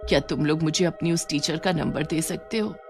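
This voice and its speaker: A woman's voice: sits low, fairly quick, a little mellow, soft background music. A woman playing a worried mother in a horror story, anxious and pleading as she speaks. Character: worried mother searching for her missing son.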